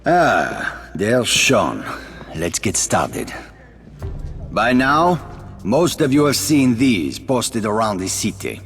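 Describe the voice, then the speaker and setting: An actor playing a suave, eloquent French resistance leader in a video game, theatrical, with a French accent. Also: male voice, fairly low pitch, sing-song, slow, fairly bright tone, long reverb tail, faint music behind, exaggerated voice. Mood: rousing, solemn. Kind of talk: cinematic video game acting.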